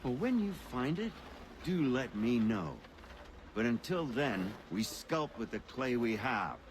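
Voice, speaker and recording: A man's voice: medium pitch, very animated, measured pace, a little hiss or hum. An actor playing a worldly, wry male guide who explains things patiently in a video game.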